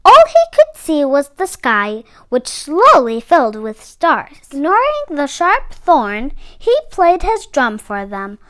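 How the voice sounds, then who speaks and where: Slow, high-voiced woman reading a storybook. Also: wildly swooping pitch, sharp tone. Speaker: read-aloud storyteller for children. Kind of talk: expressive picture-book narration.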